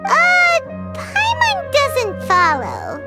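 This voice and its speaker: A woman voicing a small, childlike fairy companion in a video game, blunt, cheeky and excitable. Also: very high voice, drawn-out words, loud music behind the voice, full character voice. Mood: cheeky, indignant. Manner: speaks of self in third person, blunt.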